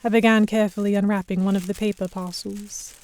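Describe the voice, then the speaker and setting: A young, observant heroine played by a woman tells a pirate tale in the first person. Her voice is mellow and medium in pitch. The delivery is measured, literary scripted narration for an audio drama. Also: little pitch movement, slight background noise, close to an everyday voice. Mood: reflective. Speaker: narrator and lead actor.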